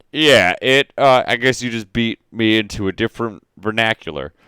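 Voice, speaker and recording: A man's voice: lowish voice, unhurried, pushed and bright, smooth, dry recording. A man running a tabletop game as game master, talking casually with joking, sweary asides.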